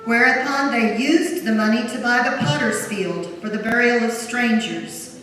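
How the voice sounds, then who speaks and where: A woman's voice: fairly high pitch, normal speed. Echoey woman narrating scripture. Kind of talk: solemn scripted dramatic narration. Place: large church during a service. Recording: very echoey church, distant, faint noise.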